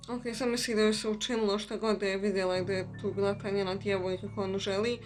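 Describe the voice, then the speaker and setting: A woman's voice: mid-range voice, little pitch movement, racing. Female gaming host, mid-range voice, casual let's play commentary, faint music underneath. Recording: voice over game music.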